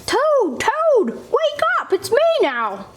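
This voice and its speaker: A woman voicing an eager, excitable frog from a children's storybook, calling out insistently to rouse a sleepy friend. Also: extremely high pitch, takes it slowly, a little hiss or hum, voice pushed up, exaggerated voice. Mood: urgent, excited. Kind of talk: children's storybook read aloud.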